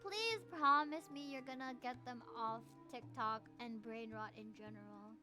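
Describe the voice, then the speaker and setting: A young adult woman with a Filipino accent, chatting casually and playfully with viewers as she livestreams a game, slangy and expressive. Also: fairly high pitch, measured pace. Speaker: livestreamer playing a video game. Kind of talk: casual chat with stream viewers and reading game dialogue aloud. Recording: music playing behind the voice.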